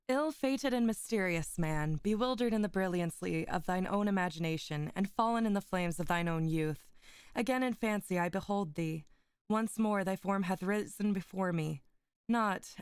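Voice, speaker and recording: A young woman streamer, a little hoarse, chatting with viewers and then reading classic prose aloud with giggles and small stumbles. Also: medium pitch, no echo, clean studio sound. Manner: giggly, chatty, stumbles over foreign words. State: slightly rough, hoarse voice. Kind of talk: casual chat and literary reading aloud.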